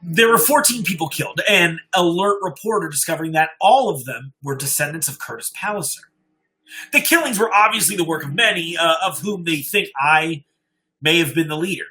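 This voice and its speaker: A man's voice: a little high, animated, brisk, bright, forceful tone, rough recording. Man reading as a grave, haunted horror story survivor. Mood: grim. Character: haunted, fatalistic survivor recounting a horror account.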